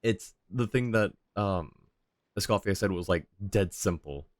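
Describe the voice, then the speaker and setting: Man, game streamer, low voice, casual commentary, close dry sound, quiet background music. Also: unhurried, no echo. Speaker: game livestreamer. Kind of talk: casual commentary while playing.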